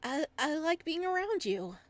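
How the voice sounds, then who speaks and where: A woman's voice: sits high, very animated, moderate pace, no room sound, voice pushed up. A woman voicing a flustered, easily embarrassed young man with a crush, stammering and gushing through visual novel dialogue.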